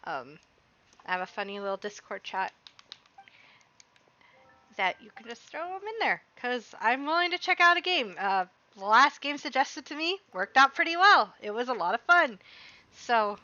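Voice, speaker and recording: Woman streamer, bright crisp tone, rambling casual chat over gameplay. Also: fairly high pitch, very animated, moderate pace, lo-fi sound. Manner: rambling, talks back to the game as she plays. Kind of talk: casual chat while gaming.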